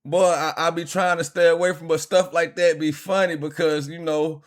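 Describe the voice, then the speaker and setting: A man's voice: fairly high pitch, flat delivery, moderate pace. A man hosting a reaction video, commenting casually in laid-back slang with fillers.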